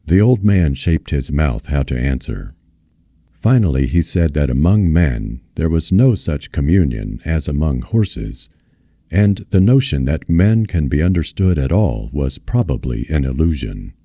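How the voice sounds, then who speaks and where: A man reading literary fiction aloud as a narrator, in a measured, solemn manner. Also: a bass voice, sing-song, mellow, no echo, studio quality. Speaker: reader of a novel.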